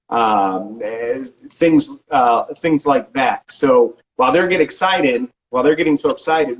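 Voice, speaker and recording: A middle-aged man working as a real estate agent, answering interview questions in a casual, halting way with frequent restarts and filler sounds. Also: mid-range voice, low-quality recording. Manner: halting, many restarts and ums.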